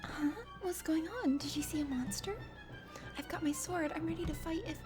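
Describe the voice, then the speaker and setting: A woman's voice: sits high, steady tone, moderate pace, music in the background, natural voice. A woman voicing a plucky young female knight and bodyguard in a game read-aloud, blunt and sarcastic. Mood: alert.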